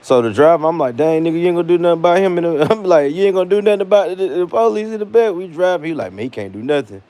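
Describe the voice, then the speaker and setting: A man's voice: a little high, very fast. American man telling a casual story. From United States. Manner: animated retelling with slang and acted-out dialogue. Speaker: vlogger.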